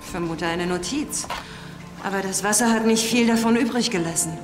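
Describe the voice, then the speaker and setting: A woman's voice: mid-range voice, fairly quick, close to an everyday voice. A dry, self-assured forensic pathologist, played by an actress, in a TV crime drama. She speaks matter-of-factly in a mid voice. The room echoes with a long reverb, and music plays beneath. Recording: roomy echo with music.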